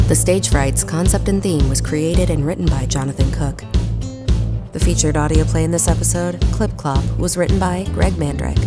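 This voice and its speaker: A woman reading scripted production credits as an announcer. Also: sits low. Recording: loud music behind.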